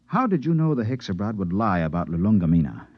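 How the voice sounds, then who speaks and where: Earnest low-voiced spaceman, old radio. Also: sing-song, fast, no echo. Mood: anxious, puzzled. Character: earnest spaceman recounting his adventure in first person. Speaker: narrator and character. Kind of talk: dramatic 1950s radio storytelling. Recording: dull vintage 1950s radio recording.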